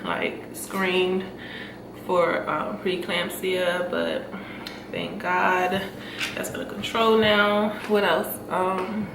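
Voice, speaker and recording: A woman's voice: fairly low pitch, level tone, very slow, vocal fry. Chatty young woman, stuffy and breathless. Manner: chatty, lots of fillers and laughs. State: stuffy nose, short of breath. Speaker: vlogger. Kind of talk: casual chat to camera. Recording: echoey room, mic at a distance, noisy.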